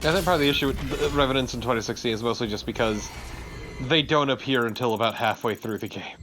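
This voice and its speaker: A man's voice: mid-range voice, fast, over music. A man chatting casually as a co-commentator on a video game, wry and joking. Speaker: let's play co-commentator. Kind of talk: casual chat over gameplay. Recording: clearly noisy background.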